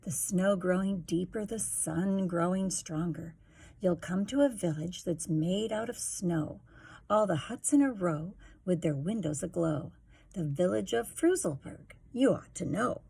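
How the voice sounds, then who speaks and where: A woman hosting storytime, reading a rhyming children's picture book aloud with expressive, rhythmic delivery. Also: lowish voice, a little slow, vocal fry, husky. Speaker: storytime host, reader.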